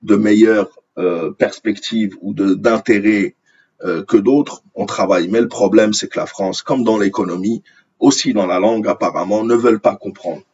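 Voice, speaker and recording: A man's voice: on the low side, moderate pace, a little mellow, highs cut off, slight background noise. A man from Algeria, a native Arabic speaker, giving indignant, opinionated news commentary and repeating phrases for emphasis. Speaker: online commentator. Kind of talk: opinionated commentary on news articles read aloud.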